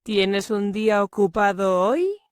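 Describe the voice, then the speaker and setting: A woman voicing Clara, a friendly housemate, speaking slowly and clearly in a scripted lesson dialogue. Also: mid-range voice, animated, no echo, studio quality. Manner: slow, clear, carefully enunciated. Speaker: learner dialogue character.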